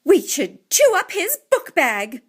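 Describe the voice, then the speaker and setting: A woman's voice: very high voice, wildly swooping pitch, takes it slowly, pitched above the performer's own voice, exaggerated voice. A woman voicing a spirited mother mouse in a storybook, exclaiming her lines. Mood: vengeful.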